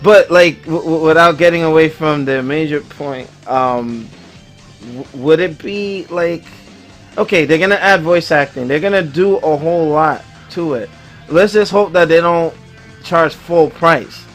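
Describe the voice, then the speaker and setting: A man hosts a casual gaming podcast in a somewhat high-pitched voice. He talks in loose slang, like he is among friends. Music plays faintly underneath. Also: a little slow. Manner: slangy, conversational. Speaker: podcast host. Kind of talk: casual gaming panel chat.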